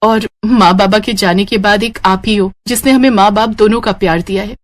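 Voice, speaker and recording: Woman as caring, bossy young sister in family story, expressive, fondly scolding, mid-range voice. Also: mid-range voice, moderate pace, close to an everyday voice. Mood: tender. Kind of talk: dramatized family story acting.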